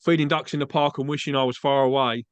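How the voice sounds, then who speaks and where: A man's voice: mid-range voice, steady tone, quick pace, no room sound, highs cut off. A man from England with an Essex accent, hosting a podcast interview in a chatty, agreeable way, often saying "mate". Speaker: podcast host. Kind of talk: casual interview chat. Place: Australia.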